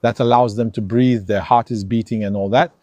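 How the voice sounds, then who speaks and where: A man's voice: mid-range voice, brisk, dry recording. Emphatic man in a dry monologue. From Algeria. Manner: animated, emphatic, acts out dialogues. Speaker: commentator. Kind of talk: opinion monologue.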